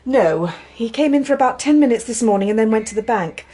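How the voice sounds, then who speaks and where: An actress playing a brisk, wary office secretary in a radio drama, guarded and quick to correct. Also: female voice, fairly high pitch, lively intonation, mellow. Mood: brisk, firm.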